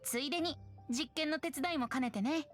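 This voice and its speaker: A man's voice: very high-pitched, racing, a bit bright. A man voicing a youthful, carefree bard in an anime-style video game, with an airy, playful and coy manner. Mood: light teasing. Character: youthful boyish bard, carefree, teasing and gentle. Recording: game audio with music underneath.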